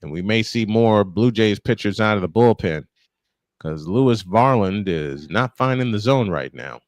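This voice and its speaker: A man's voice: fairly low pitch, expressive, moderate pace, no echo. A man working as a play-by-play announcer, giving live baseball commentary mixed with chat.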